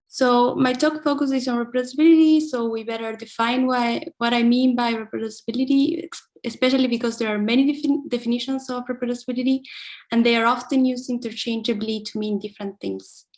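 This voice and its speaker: A young woman from Argentina giving an academic keynote talk, careful and thoughtful, with frequent fillers and restarts. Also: fairly high pitch. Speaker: invited keynote speaker.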